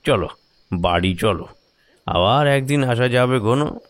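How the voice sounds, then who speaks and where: A man playing a cautious, grumbling old man with a gravelly voice in a horror audio drama. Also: mid-range voice, animated, unhurried, lightly acted. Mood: cautious, worried. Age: older.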